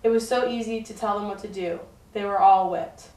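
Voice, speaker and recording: A woman's voice: medium pitch, fairly even intonation, a little fast, a little mellow, natural voice. A woman playing a boastful, unashamed, much-married medieval wife in a scripted puppet show monologue. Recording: echoey, distant mic, faint music.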